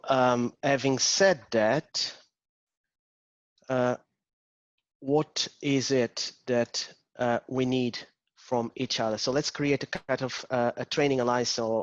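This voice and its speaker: A man's voice: medium pitch, no room sound, lo-fi sound. A man with a Portuguese accent, an agile coach leading an interactive online workshop, hesitant with many ums and restarts. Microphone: video call.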